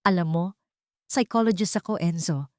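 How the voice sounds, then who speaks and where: A woman voicing a composed, defiant young woman in an audio love drama, calm, cutting and deliberate. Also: fairly low pitch, dry recording, studio quality, lightly acted. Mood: cold accusation.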